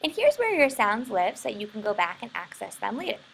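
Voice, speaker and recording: An American woman narrating a software tutorial in an informal, explanatory way. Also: a little high, very animated, a little fast, gravelly, husky, faint music behind. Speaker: software instructor.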